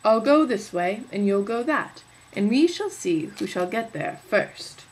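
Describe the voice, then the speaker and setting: A woman voicing a big, wicked male wolf who is sly and hungry, talking loud and drawn-out in a told fairy tale. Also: medium pitch, lively intonation, slight background noise, close to an everyday voice, low-quality recording.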